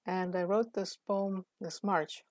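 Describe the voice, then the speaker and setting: A middle-aged woman whose first language is Russian reads her poem very slowly, weighing each phrase. The sound is dry and close. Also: medium pitch, fairly even intonation, no room sound. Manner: slow, deliberate recitation. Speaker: poet. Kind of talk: poetry reading.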